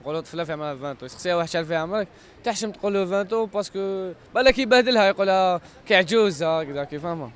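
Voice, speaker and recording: A young Algerian man in a casual street interview. His slightly high voice rattles along very fast, with faint outdoor city sound. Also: expressive, a little hiss or hum. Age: young adult. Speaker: passer-by being interviewed. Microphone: handheld microphone.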